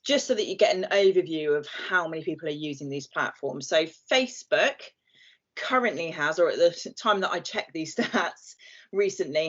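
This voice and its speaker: A woman from southern England giving a friendly, chatty presentation on a video call, with some fillers. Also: lowish voice. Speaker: guest speaker and company head. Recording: muffled video call audio.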